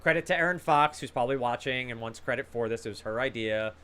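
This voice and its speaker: A man's voice: mid-range voice, fast, fairly bright tone, clean tone. A man hosting a trivia quiz over a video call, reading out questions with casual, encouraging banter between them.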